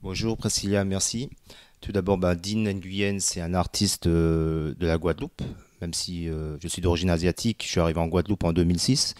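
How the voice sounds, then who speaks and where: A man with a very low voice, recorded close and dry with no room sound. He answers as a guest singer in a relaxed, halting way, often pausing to fill gaps. He is from Vietnam. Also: dry recording. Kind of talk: casual interview answers.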